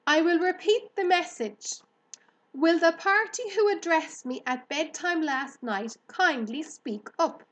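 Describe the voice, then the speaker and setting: An excitable young piglet from a children's storybook, acted out by a woman, speaking in a high, loud voice. He is innocent and earnestly polite, tripping over his words in his eagerness. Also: takes it slowly, full character voice. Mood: loudly announcing. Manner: stammering, effusive, earnestly polite.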